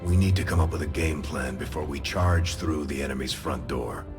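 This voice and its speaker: An actor playing a gruff, no-nonsense military second-in-command in a game cutscene, blunt and commanding. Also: male voice, very deep voice, moderate pace. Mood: skeptical, firm. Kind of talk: video game cutscene acting. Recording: music behind the voice.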